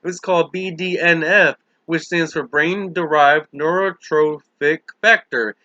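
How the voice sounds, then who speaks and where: A man reads the part of a lowly housekeeper in a novel. The delivery is earnest and plainspoken, confiding and explanatory. The voice is bright and forceful, in a dry, dull-sounding recording. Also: steady tone, measured pace, no room sound. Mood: earnest, explaining. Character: Maureen, lowly woman housekeeper confessing secrets, earnest and plainspoken.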